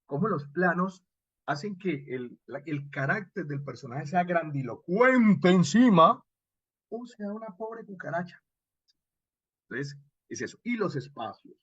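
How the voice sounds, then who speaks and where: A man with a Colombian accent and a husky voice, lecturing expressively as a radio drama instructor and checking in with short rhetorical questions. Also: sits high, animated, unhurried, a bit bright, dry recording, dull audio. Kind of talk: animated workshop lecture with acted examples.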